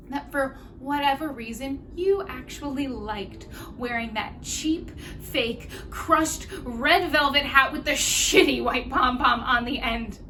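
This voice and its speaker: A woman's voice: high-pitched, pushed and bright, a little hiss or hum. A woman acting a scathing, sarcastic, self-righteous young woman in a theatrical monologue, with biting mockery and emphatic stresses. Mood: accusing, scathing.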